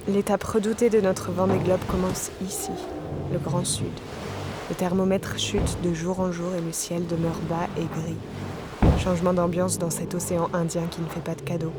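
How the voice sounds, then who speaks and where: A woman playing a weary solo sailor in an audio fiction, speaking her logbook in a measured, terse, introspective way. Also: on the low side, lightly acted. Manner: measured, terse, introspective in the log; colloquial on radio. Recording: loud vehicle-like ambient noise behind.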